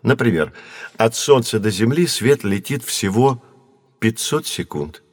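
A man playing a patient, learned astronomer who guides children in an educational audio drama, measured and didactic. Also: medium pitch, animated, dry recording, clean studio sound. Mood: explaining. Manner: measured, didactic, clearly enunciated.

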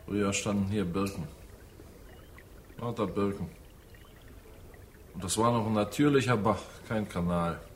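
A male actor plays a father in his forties in a radio drama, with a very low voice. He is laconic and nostalgic, musing in short phrases over a faint wash of ambient noise. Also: measured pace, pauses often, close to an everyday voice. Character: dead father of forty-one, nostalgic, laconic. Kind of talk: German radio drama acting.

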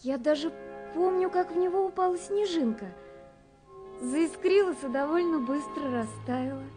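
A woman's voice: high-pitched, expressive, moderate pace. An actress playing a dreamy, wondering young woman reading her letters in a lyrical, wistful radio play. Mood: tender wonder. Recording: 1970s radio production with music. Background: music.

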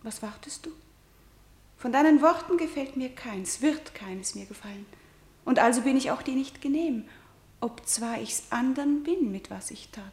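A defiant young princess in a radio play. Her voice is fairly high and intense, declaiming verse without yielding. It rings in an echoing room. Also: expressive, moderate pace, darkish tone. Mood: proud, unyielding. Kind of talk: verse radio drama acting.

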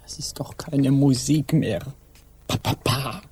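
A disillusioned, nostalgic sailor in a German radio drama. He grumbles slowly in a hushed, gravelly, resigned voice over faint noise. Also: medium pitch.

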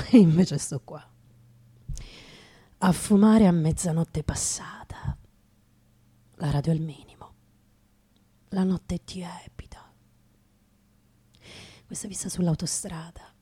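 A weary, wry old drinker, played by a woman in a radio play monologue. The voice is low and husky, breaking into breathy whispers. The words come slowly, muttered and repeated, heavy with bitterness. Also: dark tone, heavily acted. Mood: grumbling, weary.

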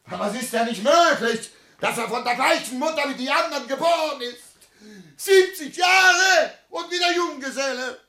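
A man's voice: very high voice, moderate pace, pushed and bright. An actor playing an embittered, proud father of seventy in a classic German radio drama, declamatory and bitterly sarcastic. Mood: raging, anguished. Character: embittered seventy-year-old father, proud, wounded patriarch.

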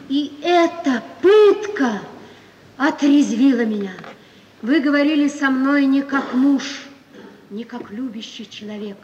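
An actress playing a gentle, wronged wife who speaks with dignified, solemn resolve in an old radio play. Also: female voice, high voice, slight background noise. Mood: pained, indignant. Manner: solemn, measured, earnest declamation. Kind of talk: 1950s Soviet radio play acting. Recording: dull, distant 1950s radio recording, hall reverb.

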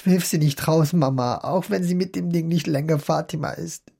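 A woman's voice: deep voice, normal speed, creaky, dark tone, breathy edge, heavily acted. An actress playing a protective daughter pleading with her mother in a radio play. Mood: pleading, teasing. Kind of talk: naturalistic radio play acting.

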